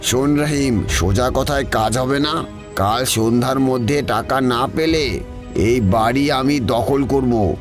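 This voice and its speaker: A man's voice: mid-range voice, takes it slowly, close to an everyday voice. A man voicing a greedy, cruel village moneylender in a cartoon, bullying and scornful. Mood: mocking, threatening. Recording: music playing behind the voice. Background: background music.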